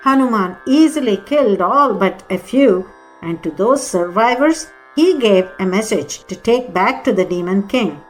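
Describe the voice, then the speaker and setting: Woman narrating, soft music behind. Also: medium pitch, expressive, rough recording. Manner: measured storytelling. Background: Carnatic music with sitar.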